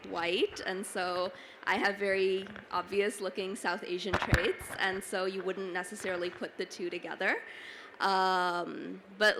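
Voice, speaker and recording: A woman's voice: medium pitch, pushed and bright, a little hiss or hum. A young adult woman from Toronto, speaking casually on a panel with lots of "like" and "you know". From Toronto, Canada. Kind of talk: panel discussion answer. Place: book fair panel stage.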